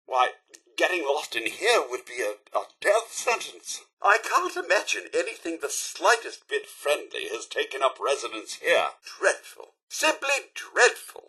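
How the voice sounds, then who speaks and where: A man voicing a prim, fussy robot butler in a video game, with a British accent, a raspy edge and formal, theatrical, deferential delivery. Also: fairly high pitch, pushed and bright, heavily acted. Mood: politely apologetic.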